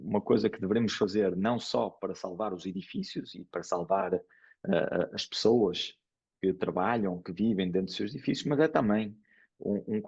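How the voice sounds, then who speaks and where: A man's voice: deep voice, creaky, darkish tone, husky. A man with an Italian accent speaking Portuguese, giving a hesitant academic lecture full of fillers and restarts. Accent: Italian with English and Porto traces in Portuguese. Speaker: engineering professor giving a conference talk. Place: lecture hall. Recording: dull lo-fi lecture recording.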